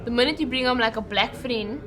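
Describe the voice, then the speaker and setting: Direct, high-voiced young Cape Town woman. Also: a little fast, crowd noise. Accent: Cape Town South African. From Cape Town, South Africa. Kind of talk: casual group discussion.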